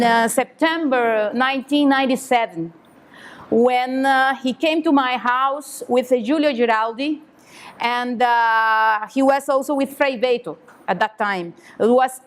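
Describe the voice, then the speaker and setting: A woman from Cuba, speaking at a seminar in hesitant English full of fillers and restarts. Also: on the high side. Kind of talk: halting public remarks. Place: conference hall.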